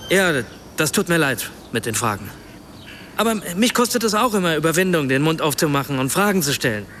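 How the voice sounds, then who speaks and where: An earnest writer full of self-doubt, his voice on the high side. He picks his way through sentences hesitantly, apologetic yet probing, in naturalistic radio drama dialogue. A faint rumble of vehicles sits behind him. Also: very animated, brisk, a bit bright. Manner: hesitant, self-interrupting, searching for words.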